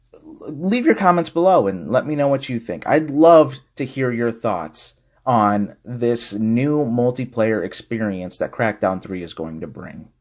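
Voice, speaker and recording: A man's voice: medium pitch, darkish tone. Man enthusing over gaming news. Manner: enthusiastic, asks viewers for comments.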